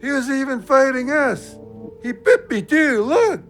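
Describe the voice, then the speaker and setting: Slow gravelly big buddy. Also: male voice, very high voice, big pitch swings, fairly bright tone, faint music behind, exaggerated voice. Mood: indignant. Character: Big Joe, slow-talking, plain-spoken male friend. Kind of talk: audio drama acting.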